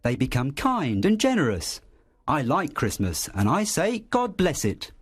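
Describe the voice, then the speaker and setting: A man voicing a cheerful, warm-hearted nephew in a storybook, bright and earnest in manner. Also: a little high, wildly swooping pitch, unhurried, husky, pitched above the performer's own voice, heavily acted.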